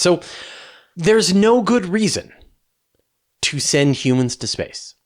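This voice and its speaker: Emphatic man explaining in a conversational way. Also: mid-range voice. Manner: emphatic, conversational, with rhetorical questions and repetition. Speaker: host of a space question-and-answer show. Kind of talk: unscripted explainer answering viewer questions.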